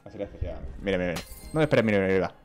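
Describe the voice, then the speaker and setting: A man's voice: deep voice, rapid-fire, music in the background. A man in his thirties with a Venezuelan Spanish accent, chatting playfully and teasing while streaming a game on a headset mic. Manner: playful, joking, lively and teasing. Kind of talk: casual gaming stream chat. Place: small home room indoors.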